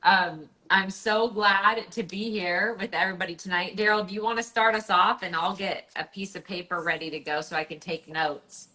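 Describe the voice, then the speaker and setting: A woman chatting on a livestream, loud and friendly, greeting viewers by name. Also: mid-range voice, fairly even intonation, normal speed, hardly any pauses, poor audio. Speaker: guest coach. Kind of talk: casual livestream chat.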